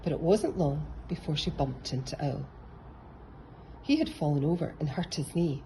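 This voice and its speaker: A woman's voice: deep voice, lively intonation, normal speed, dark tone, a little hiss or hum, low-quality recording. A woman narrating a children's picture book as a storybook reader.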